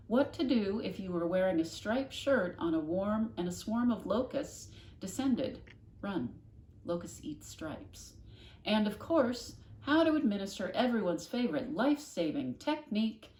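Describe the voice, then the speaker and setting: A woman reading a children's novel aloud expressively, with dramatic, deliberate emphasis. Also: fairly low pitch, darkish tone. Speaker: storybook reader, classroom host. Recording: echoey room, faint noise.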